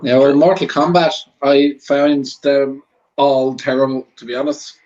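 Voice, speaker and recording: A man chatting casually as a panel guest, halting and repeating his words. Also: mid-range voice, flat delivery, a little mellow. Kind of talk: casual chat. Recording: dull lo-fi audio.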